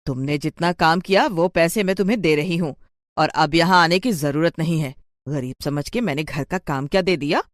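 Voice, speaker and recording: A woman's voice: low voice, normal speed, no room sound, clean studio sound, lightly acted. A woman voicing a harsh, impatient rich employer in a story, scolding her maid curtly. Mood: scornful. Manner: scolding, curt.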